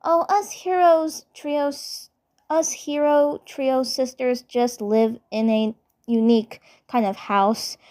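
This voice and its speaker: A woman voicing a calm, caring young cartoon superheroine who leads her sisters in a measured, reassuring way. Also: high voice, pitched above the performer's own voice. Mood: composed.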